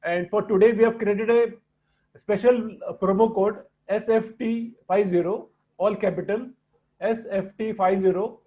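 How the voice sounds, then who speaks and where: A man with an Indian accent hosts a casual online talk in a distinctly high voice. He speaks haltingly, pausing mid-sentence as he presents. The audio is dull and lo-fi. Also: fairly even intonation, mellow. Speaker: host presenting a video platform.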